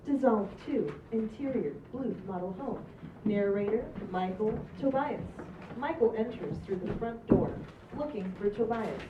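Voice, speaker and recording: A woman's voice: mid-range voice, animated, measured pace. A woman reading script stage directions aloud at a table read, in a plain, amused way. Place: room at a group table read. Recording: roomy, distant, dull sound with noise.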